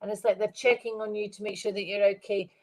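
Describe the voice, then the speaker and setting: A middle-aged woman medium giving a live-stream spirit reading. She sounds stuffed up and speaks in a gentle, rambling way, repeating phrases and addressing viewers by name. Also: medium pitch, steady tone, fast talker, low-quality recording. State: congested, stuffed-up nose.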